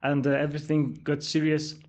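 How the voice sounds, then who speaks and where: A man from Iran talks about his homeland in English with a Persian accent. His voice sits a little high, and he explains things slowly and patiently, often saying "definitely" as a guest on a podcast. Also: level tone, a little mellow. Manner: measured, explanatory, frequent "definitely" and "actually". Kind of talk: podcast interview, explaining culture.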